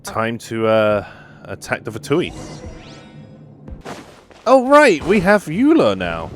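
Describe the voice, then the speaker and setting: A man giving casual let's play commentary as a gaming host, reacting in brief asides. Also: lowish voice.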